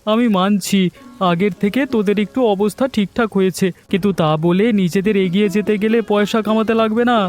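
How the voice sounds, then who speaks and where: A man's voice: high-pitched, fairly even intonation, a little fast, close to an everyday voice. A man voicing a cunning, greedy village fish trader in a cartoon story, falsely friendly and pushy in his persuasion. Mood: scornful urging. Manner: persuasive, pushy, smooth-talking. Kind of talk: Bengali cartoon story voice acting. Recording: music bed under the voice.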